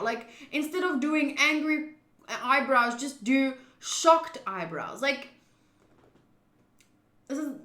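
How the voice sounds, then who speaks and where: A woman chatting casually on a livestream about a visual novel, expressive and thinking aloud as she goes. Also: high voice, echoey room. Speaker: streamer playing a visual novel.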